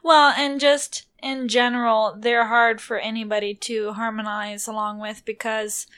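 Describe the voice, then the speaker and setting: A woman's voice: fairly high pitch, flat delivery, measured pace, fairly bright tone, smooth. Upbeat woman podcast co-host. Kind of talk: casual chat.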